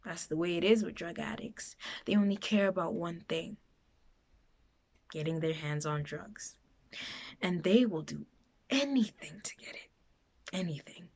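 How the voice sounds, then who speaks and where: A woman playing a frightened, bitter foster girl in a dramatic confessional monologue, halting and emotional in her delivery. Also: on the low side, darkish tone, slightly rough. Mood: hushed, bitter. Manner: halting, emotional, breaking into whispers and raised outbursts.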